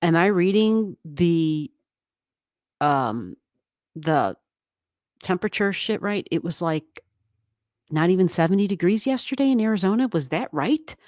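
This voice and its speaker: Low-voiced rambling woman streaming from home. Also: lively intonation, unhurried, pauses often, a little mellow, no room sound, studio quality. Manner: rambling, chatty, laughs often. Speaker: astrology livestream host. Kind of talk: casual livestream chat with viewers. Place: home room, not soundproofed. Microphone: desk microphone, turned down halfway.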